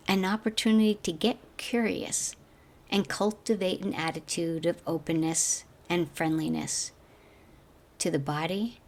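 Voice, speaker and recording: A woman guiding a meditation as a teacher, speaking gently in slow, measured phrases that trail off softly. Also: mid-range voice. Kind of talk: guided meditation narration.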